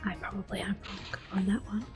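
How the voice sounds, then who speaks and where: A woman giving gentle, unhurried, soft whispered ASMR commentary on a game as the host. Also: mid-range voice, level tone, music in the background.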